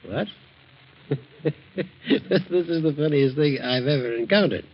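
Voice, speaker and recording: Incredulous gentleman, animated intonation, husky tone, scoffing, vintage radio drama, dull old recording. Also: on the high side, slow. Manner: scoffing, expressive. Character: incredulous gentleman protesting his innocence to an armed accuser.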